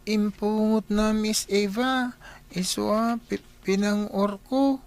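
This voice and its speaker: An actress with an Ilocano accent playing a young boy who talks haltingly and innocently in a radio drama. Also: mid-range voice, steady tone, darkish tone. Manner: halting, stammering repeats.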